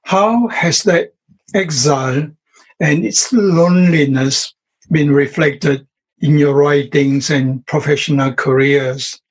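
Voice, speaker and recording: Male interviewer, higher voice, very slow, formal questions. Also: slightly rough, rough recording. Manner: measured, formal delivery. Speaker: interviewer, professor. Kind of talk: reading prepared interview questions.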